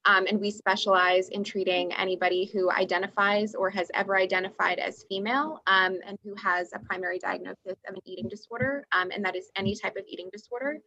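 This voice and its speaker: A woman's voice: mid-range voice, steady tone, quick pace, hardly any pauses, pushed and bright, highs cut off. A woman whose first language is Russian, introducing her organization on a webinar, friendly and full of "um" fillers.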